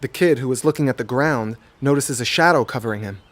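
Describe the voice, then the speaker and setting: A man's voice: mid-range voice, quick pace. A man reading a scripted children's story as its narrator. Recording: music behind the voice. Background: background music.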